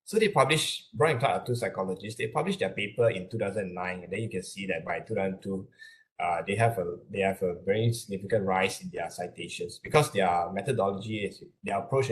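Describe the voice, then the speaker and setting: A man with a Malaysian accent giving an explanatory webinar lecture, speaking haltingly and often repeating his words as he works through the material. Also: deep voice. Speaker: academic lecturer.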